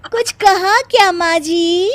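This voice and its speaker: A woman plays a smug young wife from a cartoon moral story, putting on pious innocence. Her voice is very high and affected. She speaks slowly and sweetly to her elders. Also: no room sound, studio quality. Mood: challenging. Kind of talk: Hindi animated moral story voice acting.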